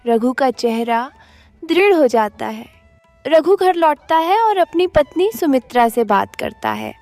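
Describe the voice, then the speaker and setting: A woman's voice: sits high, huge pitch swings, a little slow, a little mellow, soft background music. A woman reading scripted narration for a cartoon moral story as its storyteller.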